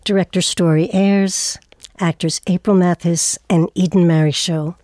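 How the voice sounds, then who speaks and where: A woman's voice: on the low side, fairly even intonation, moderate pace, mellow, no echo, clean studio sound. A woman hosting a radio show in a presenter's style. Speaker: radio host. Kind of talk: interviewing and scripted radio credits.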